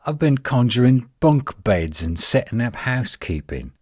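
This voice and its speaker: A man playing a defensive, flirtatious tsundere werewolf in a roleplay audio drama, indignant and sarcastic with a husky voice. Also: medium pitch, sing-song, a little slow, a little mellow, no room sound, studio quality.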